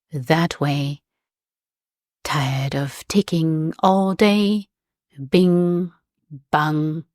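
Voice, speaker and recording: A woman reading aloud, voicing an odd elderly godfather who speaks in a grating, monotonous tone. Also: fairly low pitch, dry recording, clean studio sound, natural voice.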